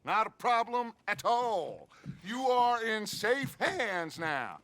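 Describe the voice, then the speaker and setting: An actor playing a silver-tongued, grandiose outlaw gang leader in a western video game, theatrical and sardonic. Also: male voice, sits high, big pitch swings, takes it slowly, fairly bright tone, dry recording, exaggerated voice. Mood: ingratiating, commanding. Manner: theatrical, smooth-talking, sardonic. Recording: clear background noise.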